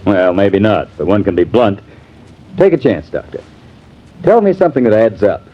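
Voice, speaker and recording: An actor playing a sardonic, disillusioned husband in a mannered, theatrical 1950s American radio drama style. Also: male voice, fairly low pitch, animated, normal speed. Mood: wry, bitter. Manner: wry, mannered, theatrical delivery. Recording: dull, hissy vintage radio recording.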